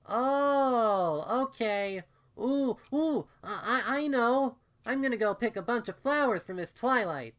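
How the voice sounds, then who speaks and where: A man's voice: extremely high pitch, expressive, slow pace, fairly bright tone, pitched above the performer's own voice, heavily acted. A man voicing a sweet, innocent little unicorn filly in a storybook, with eager, childlike baby talk. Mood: excited, innocent. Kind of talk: storybook character voice.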